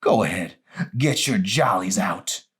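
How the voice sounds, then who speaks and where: A man voicing a deranged, terrified and hostile old grandmother in an audiobook, crying out accusations in a shrill, drawn-out wail. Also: lively intonation, a bit bright. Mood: bitter, shrieking. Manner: shrill, wailing, dragged-out cries and accusations.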